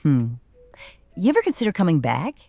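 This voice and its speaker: An actress playing a smirking, cruel wrestling boss in a noir audio drama, with a taunting, theatrical manner. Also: female voice, lowish voice, huge pitch swings, brisk, vocal fry, mellow, no echo, full character voice. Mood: mocking. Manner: taunting, theatrical, hums while eating.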